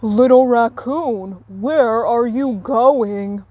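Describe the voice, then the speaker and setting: A woman as a slow, firm father raccoon. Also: on the high side, darkish tone. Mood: calling out. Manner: firm, measured, cautioning. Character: stern but caring father raccoon in a storybook.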